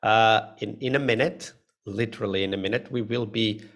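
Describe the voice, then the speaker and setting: A man with a low, gravelly voice hosts a live online session. He talks in a relaxed way, full of fillers and restarts. The sound comes through a video call mic. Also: normal speed. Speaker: host.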